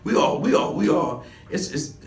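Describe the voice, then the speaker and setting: A man's voice: fairly high pitch, very animated, normal speed, slight background noise. Older man reminiscing in street slang. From Los Angeles. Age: 60s. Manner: rambling, restarts, street slang. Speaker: interview guest. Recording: echoey room, mic at a distance.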